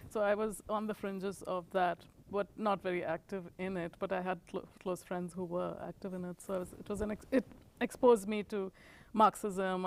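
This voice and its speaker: A woman in her forties from India, answering questions in a recorded oral history interview. She speaks in a measured, reflective way, and her voice often fades at the ends of her thoughts. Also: mid-range voice, a little mellow. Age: 40s. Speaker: interviewee, author and professor.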